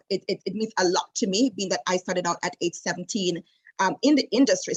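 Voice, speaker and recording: Husky Jamaican woman telling stories. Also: fairly low pitch, rough recording. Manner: lively storyteller, many fillers and restarts. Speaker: destination wedding specialist, guest. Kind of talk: casual interview chat.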